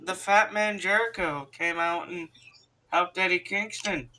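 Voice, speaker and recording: A man's voice: high-pitched, fairly even intonation, measured pace. A man co-hosting a podcast, chatting casually about wrestling in a blunt, teasing way. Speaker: podcast co-host. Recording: noisy home mic with clicks.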